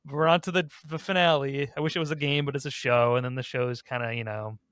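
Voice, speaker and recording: A man's voice: mid-range voice, steady tone, quick pace, fairly bright tone, clean tone, no echo, studio quality. A man hosting a casual group discussion, talking in a rambling, self-correcting way. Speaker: podcast host.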